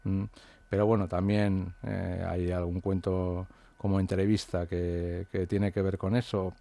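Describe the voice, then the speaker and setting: A man's voice: low voice, little pitch movement, measured pace, vocal fry, warm tone, no echo. A man, an author from the Basque Country, talking through his ideas in an interview, hesitant and reflective with many fillers and restarts. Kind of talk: interview conversation.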